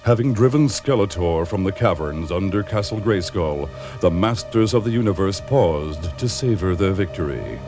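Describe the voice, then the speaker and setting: Deep, dramatic male storybook narrator. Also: expressive, normal speed, music in the background. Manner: dramatic, declamatory.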